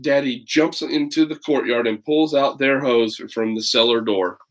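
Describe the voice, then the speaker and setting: A man's voice: medium pitch, normal speed. A man reading a children's picture book aloud, breaking off for casual, folksy asides to his listeners. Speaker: read-aloud storyteller.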